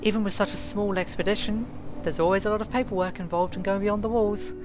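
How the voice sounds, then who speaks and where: A woman playing a stern, shrewd mother in a fantasy audio drama, speaking in a measured, persuasive way with dry affection. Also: mid-range voice, steady tone, lightly acted. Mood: dry, placating. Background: music.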